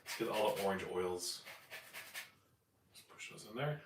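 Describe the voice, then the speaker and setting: Raspy man hosting a live cooking stream. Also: fairly low pitch, lively intonation, measured pace. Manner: upbeat, chatty, explains steps as he cooks. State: raspy, voice cracking after flu. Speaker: home cooking channel host. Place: home kitchen. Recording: echoey room, distant mic, faint noise. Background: kitchen clatter, hot plate.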